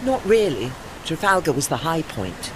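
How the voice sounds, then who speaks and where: A man in an audio play acting as a refined, melancholy old retired warship with gentle dignity and formal, genteel speech. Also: fairly high pitch, big pitch swings, normal speed, background noise. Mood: wistful, resigned.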